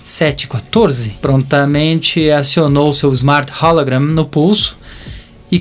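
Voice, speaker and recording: A Brazilian man narrating a scripted audio drama in a theatrical way, stretching out some phrases. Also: on the high side.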